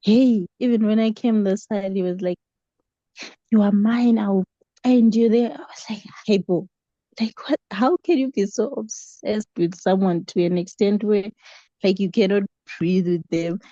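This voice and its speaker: A woman's voice: mid-range voice, fairly even intonation, measured pace, warm tone, no room sound. South African woman storyteller, dry muffled sound. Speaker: book club member sharing a story. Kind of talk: casual personal storytelling.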